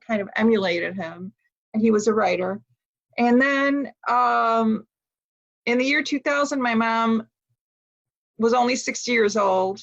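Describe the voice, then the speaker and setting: Slow, thoughtful American woman storyteller. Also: mid-range voice, fairly bright tone. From United States. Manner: slow, reflective, frequent ums and uhs. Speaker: guest speaker. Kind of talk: personal storytelling talk. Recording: dull lo-fi sound.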